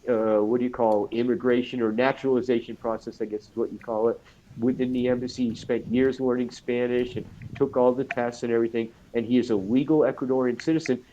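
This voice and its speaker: A man with a cold, talking casually as a guest on an online radio show, halting with fillers and restarts. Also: mid-range voice, a little mellow, highs cut off. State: has a cold.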